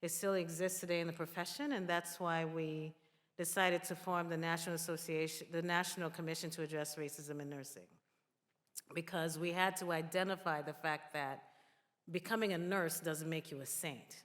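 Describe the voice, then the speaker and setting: A woman speaks earnestly to make her points as a panelist. Her voice sits on the low side, and the hall around her adds a long reverb. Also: fairly even intonation, normal speed, a bit bright.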